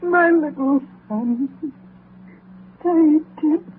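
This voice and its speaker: A woman's voice: high voice, extremely slow. An actress playing a tender, grieving mother in a 1930s radio drama, with a sorrowful delivery. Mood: grieving, tearful. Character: grieving, tender, gentle mother of a poor family. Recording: tinny, faintly noisy 1930s radio recording.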